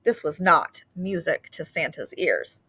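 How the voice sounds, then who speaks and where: A woman reads a children's picture book aloud as a storyteller, with animated delivery and drawn-out emphasis on key words. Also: sits low, slightly rough.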